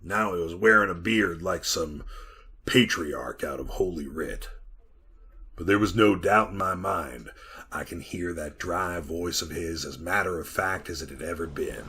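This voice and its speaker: A man's voice: deep voice, pushed and bright, heavily acted. An actor playing a haunted, weary man recounting an eerie ordeal in old-time radio horror style. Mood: hushed, wondering. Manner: slow, brooding first-person storytelling. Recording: clearly noisy radio drama recording.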